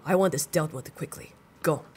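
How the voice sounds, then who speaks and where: A man's voice: on the high side, moderate pace, lightly acted. A man playing a confident, thrill-seeking crime syndicate boss in an audio drama, with a casual but commanding manner. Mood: stern. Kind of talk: audio roleplay drama acting.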